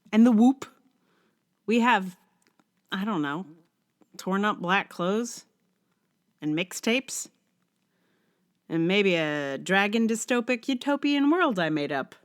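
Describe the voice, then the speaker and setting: A middle-aged woman hosting a solo podcast, musing in a wry, self-questioning way. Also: on the low side. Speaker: podcast host. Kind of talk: scripted essay reading, then casual rambling solo podcast talk.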